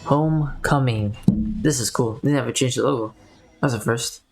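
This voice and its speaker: A man's voice: mid-range voice, moderate pace, faint music behind, rough recording. A man giving casual, laid-back playthrough commentary on a video game in brief offhand remarks. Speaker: game streamer.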